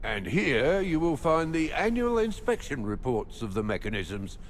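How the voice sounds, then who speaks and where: Fussy, pompous rector, swooping pitch. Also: male voice, very animated, moderate pace, big-room sound, slight background noise. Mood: pompous, helpful. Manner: pompous, hesitant stammering, old-fashioned formal phrasing. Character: fussy, overworked, pompous male music academy rector. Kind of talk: video game dialogue acting.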